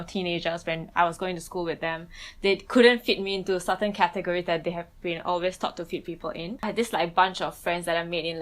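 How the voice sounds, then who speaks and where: A woman's voice: fairly low pitch, fairly bright tone. A young woman from Bangladesh talking casually about her life in an interview, with lots of "like" and "um" fillers. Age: young adult. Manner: chatty, frequent "like" and "um" fillers, self-restarts. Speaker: student interviewee.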